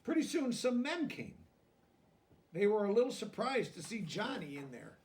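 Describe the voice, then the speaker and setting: A man with a noticeably high voice reads a children's storybook aloud. He goes deliberately through the text and explains it in simple, plain words. The recording sounds distant, as if he is well away from the microphone. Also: big pitch swings. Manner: deliberate reading broken by softer, plain-worded explanations. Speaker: storybook reader. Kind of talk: children's picture book read-aloud with simple explanatory asides.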